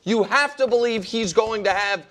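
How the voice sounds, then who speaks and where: A man's voice: sits high, moderate pace, fairly bright tone. A man hosting a sports debate show, arguing in an emphatic, needling manner. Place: TV studio.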